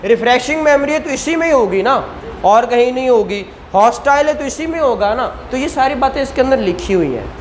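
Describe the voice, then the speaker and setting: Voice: high voice, animated, brisk, slight background noise. A law lecturer with a Pakistani Punjabi accent, explaining informally to a class and often checking in with questions. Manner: conversational, explanatory, repeated check-ins and rhetorical questions.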